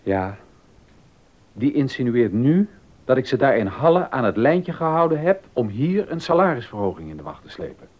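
An actor playing a stern, exacting baroque composer and organist in a docudrama, emphatic and easily indignant. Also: male voice, very animated, moderate pace. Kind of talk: docudrama radio acting. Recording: dull audio with rumbling noise.

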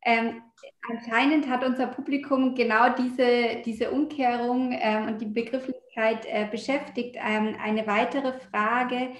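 A woman in her thirties moderating an online reading, haltingly relaying audience questions over a video call. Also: fairly high pitch, little pitch movement, roomy sound. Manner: halting, pausing mid-sentence. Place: indoors, home room on a video call.

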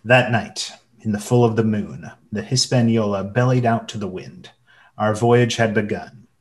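A man's voice: on the low side, normal speed, close to an everyday voice. A man playing the narrator of a radio play, recalling a boyhood sea adventure in scripted storytelling. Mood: reflective.

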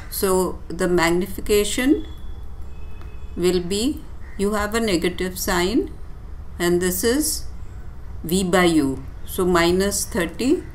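A woman teacher giving a step-by-step tutorial explanation in a deliberate, instructive way with short, pausing phrases. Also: medium pitch, slight background noise, low-quality recording. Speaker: physics teacher. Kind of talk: step-by-step tutorial explanation over handwritten working.

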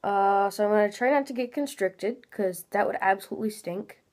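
A woman's voice: mid-range voice, normal speed, a little mellow. A teenage girl chatting casually while playing a game, a little nasal from congestion, talking from a small room at home into a webcam. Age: teens. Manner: chatty, rambling, many fillers. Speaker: gaming channel host. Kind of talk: casual gameplay commentary.

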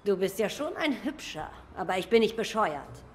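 An actress playing a sly, flirtatious, unyielding animal nurse in a noir video game, teasing and drawling. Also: female voice, medium pitch, very animated, moderate pace, soft background music, natural voice. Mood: flirty, teasing.

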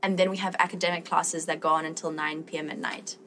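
A woman's voice: low-pitched, level tone, fast talker, a bit bright. A woman in her twenties from South Africa, chatting casually as an interview guest. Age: 20s. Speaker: guest, circus artist. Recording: noticeable background noise, room ambience.

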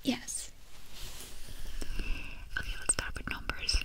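A young woman performing an ASMR medical exam roleplay as a doctor, giving short, gentle instructions in a soft whisper, very close to the mic. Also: takes it slowly. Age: young adult. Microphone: close ASMR microphones.

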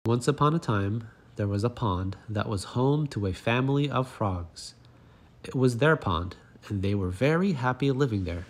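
A man narrating a children's picture book as a read-aloud, in an expressive storytelling manner. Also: medium pitch, normal speed.

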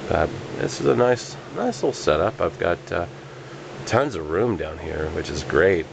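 A low-pitched man with a gravelly, fry-heavy voice walks through his basement setup in a relaxed, rambling way. He hesitates often with fillers. The camcorder audio carries a steady hum of fans and air conditioning. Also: normal speed. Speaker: home winemaker. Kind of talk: casual walkthrough vlog. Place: home basement. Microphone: handheld camera. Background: fan and air conditioning hum.

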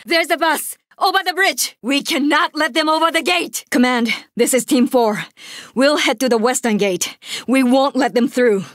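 Young female soldier for a zombie shooter game, high pitched, earnest n determined, very dry recording. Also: normal speed, a bit bright, dry recording. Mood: focused, brisk. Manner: earnest, urgent, military radio phrasing. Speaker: video game character. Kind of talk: video game voice acting, barked combat callouts.

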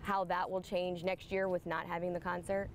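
A young woman reporter asks questions in an upbeat, lively voice. She is recorded on a lapel mic in an open stadium, with a faint outdoor hum behind her. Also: on the low side, normal speed. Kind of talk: sports feature interview. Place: outdoors in a large empty stadium.

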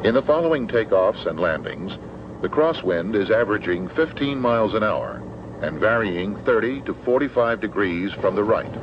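An American man giving measured, matter-of-fact, formal instructional narration in the manner of a 1970s training film. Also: fairly low pitch, expressive. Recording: dull, noisy old 16mm film soundtrack.

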